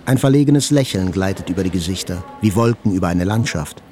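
A man's voice: low voice, expressive, fairly quick, dark tone. An actor playing a wounded male journalist who recalls his hospital ordeal in reflective, first-person literary narration.

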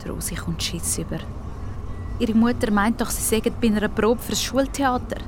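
A woman's voice: on the high side, normal speed, background noise. A woman with a Swiss German accent narrating a scripted audio story for children.

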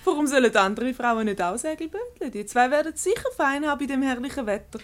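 A woman's voice: high-pitched, expressive, fast. A woman playing an unflappable, witty married lady in a Swiss German radio comedy, with a breezy, teasing, ironic manner. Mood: amused, unruffled. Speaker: radio play actor.

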